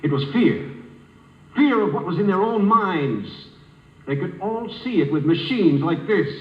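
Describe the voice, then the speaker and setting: An actor playing an eager, excitable spaceship scientist who muses aloud in a 1950s radio drama. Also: male voice, sits high, a little slow. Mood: grave. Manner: excitable, musing, hesitant when thinking aloud. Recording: muffled, hissy, reverberant 1950 radio broadcast.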